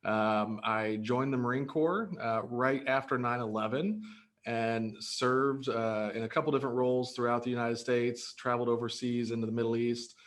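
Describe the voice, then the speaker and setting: An upbeat man in his thirties, born in Greece, answers interview questions casually. He talks earnestly and keeps restarting his sentences with ums and uhs. His pitch sits in a middle range for a man. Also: steady tone, normal speed. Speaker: real estate broker, guest.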